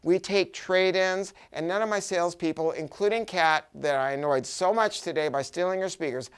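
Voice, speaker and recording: An American man giving an enthusiastic, unscripted product pitch, chatty and emphatic, often repeating words for stress. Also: moderate pace, clean tone. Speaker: audio shop owner presenting a product review.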